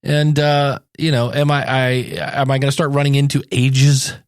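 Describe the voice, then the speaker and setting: A man's voice: medium pitch, level tone, moderate pace, smooth, dry recording, clean studio sound. A man in his fifties hosting a podcast, talking casually and candidly in an anecdotal monologue. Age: 50s. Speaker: podcast host.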